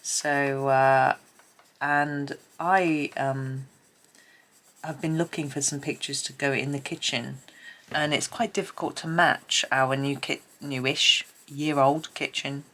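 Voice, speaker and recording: A woman's voice: sits low, fairly bright tone. A middle-aged British woman with a stuffy, blocked nose, chatting casually and rambling with many ums while she colours. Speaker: colouring channel host.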